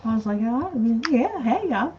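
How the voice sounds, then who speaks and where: A woman's voice: fairly high pitch, sing-song, moderate pace, lo-fi sound, crowd noise. A woman co-hosting a casual livestream. She is easygoing, laughs often and reads viewer comments aloud. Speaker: co-host of a live chat show.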